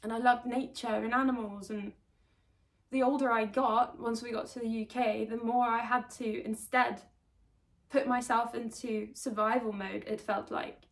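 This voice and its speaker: A woman from Sweden telling her own life story to camera, earnest and reflective, with halting restarts. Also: a little high, level tone. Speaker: vlogger. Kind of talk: personal confessional storytelling. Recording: voice slightly distant from the mic.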